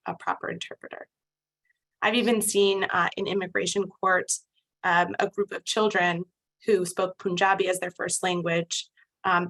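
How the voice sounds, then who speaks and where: A woman immigration attorney with an American accent presenting a legal webinar, measured and thoughtful, often pausing with fillers and restarts. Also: lowish voice, creaky, fairly bright tone, poor audio. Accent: American English.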